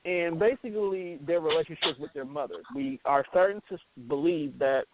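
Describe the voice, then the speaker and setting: A man's voice: fairly high pitch, moderate pace. A man hosting casual internet radio, bantering in a slangy, playful, profane way over a phone call. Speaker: radio show host. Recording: thin phone line with hiss.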